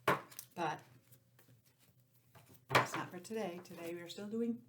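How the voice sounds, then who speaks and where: A woman teacher giving an informal, encouraging lesson to young children, sounding out letters and counting deliberately. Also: on the low side, very noisy. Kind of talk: children's lesson, read-aloud introduction.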